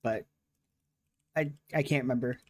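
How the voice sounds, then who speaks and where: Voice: medium pitch, moderate pace, a little mellow, dry recording. A livestream host chatting casually with a guest, playful and teasing, laughing often. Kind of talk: casual stream chat with a guest.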